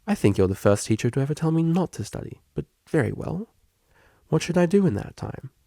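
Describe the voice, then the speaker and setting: Storybook young pony mare, played by a man: lifted pitch, formal, hesitant, anxious, close dry sound. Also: very animated, a little fast, creaky, warm tone, no room sound, exaggerated voice. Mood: unsure, hopeful. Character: young female pony cellist, polite, anxious, earnest. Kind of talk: fan fiction audiobook character reading.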